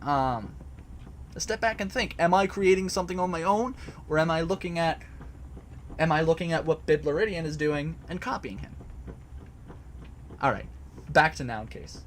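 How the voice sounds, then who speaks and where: A man's voice: fairly high pitch, expressive, moderate pace, long pauses, slight background noise. A man casually explaining a topic to a live chat from a home basement recording area, hesitant, with many fillers and restarts. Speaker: host answering chat.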